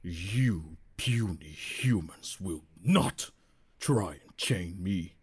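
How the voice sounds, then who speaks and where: A man voicing a wrathful, possessive ancient dragon in an ASMR roleplay drama, making booming threats. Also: animated, extremely slow, slightly rough, full character voice. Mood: furious.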